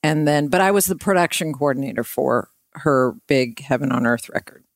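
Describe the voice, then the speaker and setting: A woman's voice: very deep voice, normal speed, no echo, clean studio sound. A woman talking casually as a podcast guest, candid and joking. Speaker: podcast guest, singer-songwriter. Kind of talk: casual chat.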